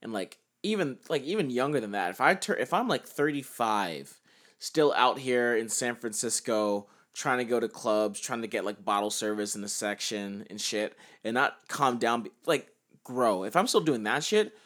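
A man's voice: mid-range voice, expressive, normal speed, fairly bright tone. A man, co-hosting a podcast in casual, joking chat that wanders and swears a lot. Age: 20s. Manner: joking, profane, rambling. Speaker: podcast co-host.

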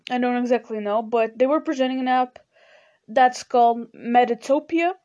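A woman's voice: on the high side, normal speed, a little mellow, dull audio. A teenage girl from Portugal, a native Portuguese speaker, rambling sleepily in a casual personal chat and saying "you know" a lot. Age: teens. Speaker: podcast host.

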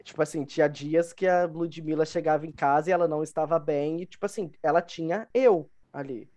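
A man's voice: fairly high pitch, fairly quick, a little mellow. A young man with a Brazilian Portuguese accent telling personal stories casually as a podcast guest, emphatically repeating his phrases. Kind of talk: casual conversational storytelling.

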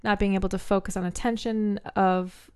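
A woman guest in a casual chat, explaining psychology with many pauses as she searches for words. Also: medium pitch, level tone, a little mellow, smooth.

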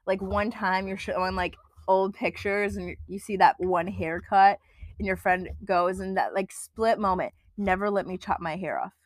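A woman's voice: medium pitch, fairly even intonation, moderate pace, no echo. A young woman with an Upper Midwest accent, co-hosting a casual podcast chat in a scattered, giggly, rambling way. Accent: Upper Midwest American. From Upper Peninsula of Michigan. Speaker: podcast co-host.

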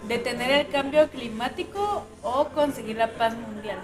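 A high-pitched woman's voice with a Guatemalan accent. She chats informally with friends and poses questions for a game. Other voices can be heard around her. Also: expressive, moderate pace, over a noisy crowd. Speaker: podcast participant reading out questions. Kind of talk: casual chat among friends.

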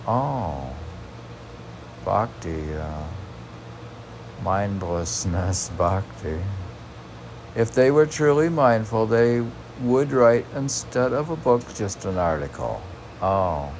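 A man reading poetry informally, halting and musing, talking back to himself in a gravelly voice. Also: low voice. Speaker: poet reading own work and commenting. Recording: noticeable background noise.

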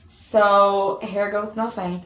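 A woman's voice: medium pitch, level tone, slow, a bit bright. A woman vlogger chatting casually and self-deprecatingly to her viewers, full of fillers. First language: not Korean. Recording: echoey room.

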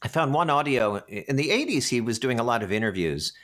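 A man with a loud voice, an actor being interviewed, recalling his work in a chatty, animated, extroverted way. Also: mid-range voice, fairly quick.

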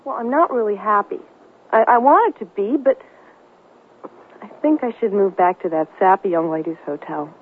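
Earnest gullible young girlfriend, raspy voice, old radio play, muffled phone-like sound. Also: mid-range voice, animated, moderate pace. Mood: unhappy, apologetic. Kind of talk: radio drama acting. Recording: thin, muffled 1970s radio drama recording.

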